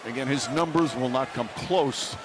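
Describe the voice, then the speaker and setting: A man's voice: medium pitch, moderate pace. A man calling a basketball game live in short, clipped, factual phrases. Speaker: basketball play-by-play announcer. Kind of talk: live sports commentary. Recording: heavy arena noise behind voice.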